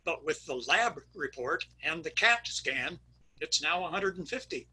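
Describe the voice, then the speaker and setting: A weary vet, read by a man in a husky, very bright and tense voice. He speaks dryly and matter-of-factly, with no fuss. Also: fairly high pitch, expressive, measured pace, exaggerated voice, rough recording. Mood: deadpan. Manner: dry and matter-of-fact. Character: weary, deadpan male veterinarian.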